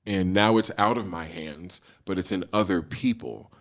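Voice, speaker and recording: Middle-aged male guest, very low voice, animated storyteller who acts out quotes. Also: normal speed. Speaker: guest, screenwriter and actor. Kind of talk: casual storytelling in a podcast interview.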